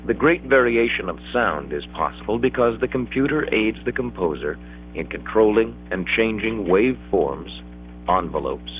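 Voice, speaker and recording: A middle-aged American man reading scripted 1970s educational film narration in a measured, explanatory way. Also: on the low side, animated, slight background noise. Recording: dull, dated 16mm film soundtrack.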